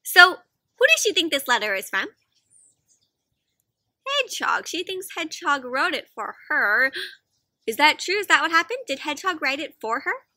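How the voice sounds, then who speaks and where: Casting: young woman, children's read-aloud host, high-pitched and animated, inviting little listeners in with questions. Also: normal speed, long pauses, dry recording, studio quality. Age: young adult. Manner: expressive, asks frequent questions, gasps. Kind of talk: interactive picture-book read-aloud for children. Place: outdoors in a fenced backyard.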